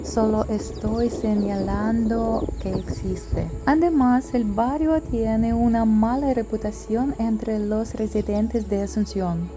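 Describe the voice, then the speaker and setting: A woman narrating her travel vlog as a scripted voice-over, reading slowly and carefully from a memorized translated script. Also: fairly high pitch, fairly even intonation. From outside Paraguay. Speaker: travel vlogger. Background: faint background music.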